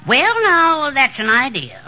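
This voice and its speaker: An actor playing a needling, folksy small-town mayor in a 1940s radio comedy. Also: male voice, extremely high pitch, huge pitch swings, slow pace, bright, forceful tone, heavily acted. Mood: teasing. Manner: folksy, teasing. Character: needling, wisecracking small-town mayor, male friend and rival. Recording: dull 1940s radio recording.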